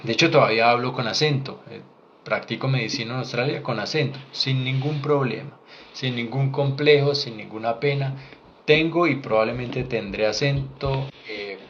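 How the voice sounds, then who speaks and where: A Colombian man in his thirties talks straight to his viewers in a frank, earnest way, pressing his points with conviction. His voice sits at an ordinary middle pitch. The recording sounds a little dull, with a faint hiss underneath. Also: normal speed, a bit bright. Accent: Colombian Spanish. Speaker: doctor sharing career advice. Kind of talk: direct-to-camera advice vlog.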